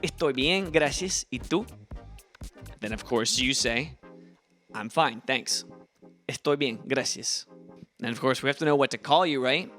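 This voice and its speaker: Young ohio guy teaching a lesson, bright voice, chatty and encouraging, bit of music under it. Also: medium pitch, unhurried, long pauses. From Ohio, USA. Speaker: language teacher, channel host. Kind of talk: casual video lesson.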